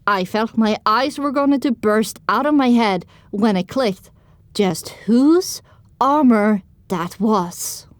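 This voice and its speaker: A woman's voice: on the high side, slow, no echo. A woman narrating an audio drama in the first person, reading scripted storytelling prose.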